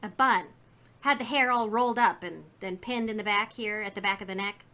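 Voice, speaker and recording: Bright-voiced, garrulous folksy shopkeeper. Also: female voice, medium pitch, brisk. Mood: reminiscing. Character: chatty, indignant, folksy female shopkeeper. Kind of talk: 1950s radio drama acting.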